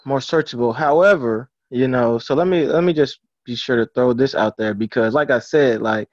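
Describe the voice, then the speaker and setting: A man talking casually in an interview as an encouraging coach, halting and repeating words as he goes. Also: mid-range voice, dry recording. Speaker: book publisher and author coach. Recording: dry, dull lo-fi audio.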